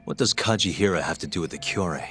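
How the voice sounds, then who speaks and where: Low-voiced, dry young male detective. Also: fast talker, fairly bright tone, faint music behind. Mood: probing. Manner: dry, terse. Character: cool, wry young male detective in leather jacket. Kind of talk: video game cutscene acting.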